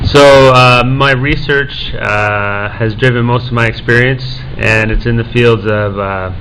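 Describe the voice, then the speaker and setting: Halting young man on a conference panel. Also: mid-range voice, fairly even intonation, fairly bright tone, a little hiss or hum, low-quality recording. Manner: halting, many "uh" fillers and restarts. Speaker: panelist, assistant professor.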